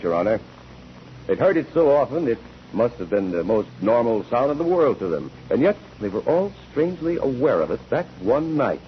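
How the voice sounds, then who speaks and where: Low-voiced, relentless courtroom investigator. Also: male voice. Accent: American. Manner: precise, articulate, probing. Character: sharp, relentless male courtroom investigator, composed and methodical. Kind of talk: 1940s radio drama acting. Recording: dull, noisy 1949 radio recording.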